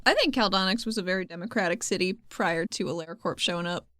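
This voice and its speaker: A woman's voice: on the low side, quick pace, bright, forceful tone, no echo, clean studio sound. A woman playing a tabletop game, talking casually with the game master. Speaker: player.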